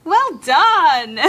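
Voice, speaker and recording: A playful female guide in an interactive audio play, very high and bright in voice. She speaks slowly and encouragingly, with lively swoops as she instructs the listeners. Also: exaggerated voice. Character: excitable, playful female companion guiding listeners through games.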